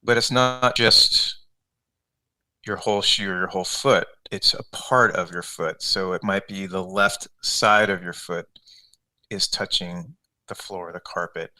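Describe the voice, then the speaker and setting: Man, meditation coach, lowish pitch, very bright forward tone, conversational, step-by-step guidance. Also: steady tone, measured pace. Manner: conversational, repetitive, step-by-step guiding. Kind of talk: guided meditation, unscripted instruction.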